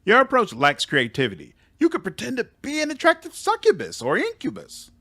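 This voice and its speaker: A man's voice: very high voice, wildly swooping pitch, a little fast, pushed and bright, dry recording, clean studio sound, pitched above the performer's own voice, full character voice. A man voicing an eager, forthright adventuring hero in a fantasy game, direct and inquisitive.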